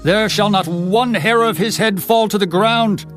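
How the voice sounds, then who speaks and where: A man's voice: high-pitched, unhurried, fairly bright tone, soft background music. A man voicing one of the people in a dramatized scripture reading, earnest and emphatic.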